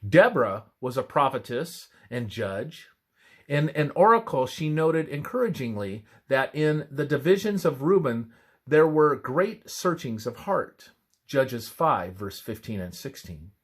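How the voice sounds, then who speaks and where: A man reads a scripted religious text aloud as devotional narration, in a measured, emphatic scripture-reading manner. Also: medium pitch, sing-song.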